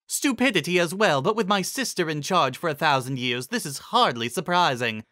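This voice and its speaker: A man acts out a haughty alicorn queen from a fantasy storybook. The voice is lifted and bright, with grandiose, old-fashioned phrasing and a commanding, easily angered temper. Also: on the high side, animated, normal speed, dry recording, clean studio sound, pitched above the performer's own voice. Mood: condescending. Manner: grandiose, archaic, commanding speech. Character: imperious, wrathful alicorn queen of the sun, haughty and archaic.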